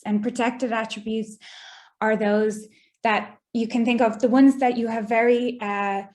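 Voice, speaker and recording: A young Irish woman, a guest speaker, presenting a lecture over a video call in a measured, explanatory way with frequent ums. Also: on the high side, a little mellow. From Ireland.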